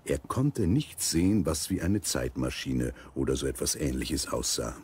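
A man narrating a scripted children's audio story in a husky voice. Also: a bass voice, lively intonation, normal speed, a little mellow.

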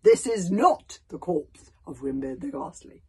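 A woman's voice: on the low side, sing-song, unhurried, creaky, warm tone, husky, heavily acted. A woman voicing an exasperated old Viking man in a children's storybook. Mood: commanding. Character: crabby old Viking man, exasperated, bellowing over panic.

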